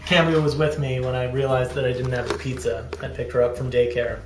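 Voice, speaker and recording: A man's voice: mid-range voice, flat delivery, fairly quick, reverberant, background noise. A man in his thirties chatting casually to camera as a dad vlogger, rambling and self-deprecating, recorded on a handheld camera in a small bathroom. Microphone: handheld camera at arm's length.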